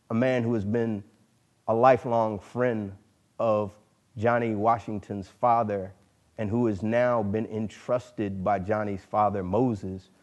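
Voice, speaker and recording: A man giving a formal literary reading, speaking in deliberate, oratorical phrases with emphasis on each one. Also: lowish voice, darkish tone. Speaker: dramatic reader. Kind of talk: formal literary introduction and narration.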